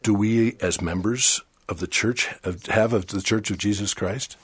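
Husky older man giving a sermon. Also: on the low side, a little slow. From Chile. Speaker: church speaker. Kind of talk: formal sermon.

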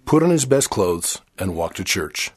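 Older man from Chile preaching a sermon; low, slightly husky voice, measured and earnest. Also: very animated. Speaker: church speaker. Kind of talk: formal sermon.

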